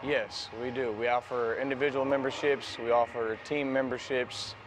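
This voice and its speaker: A man's voice: medium pitch, steady tone, normal speed, noisy background. A man working at a sports venue answering interview questions plainly.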